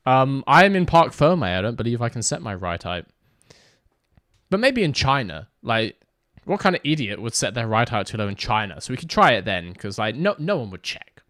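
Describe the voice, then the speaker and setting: A man's voice: medium pitch, fairly bright tone, no room sound, clean studio sound. A young man streaming a racing game, chatting casually and joking with his chat as he reads it. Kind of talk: casual gaming livestream chat.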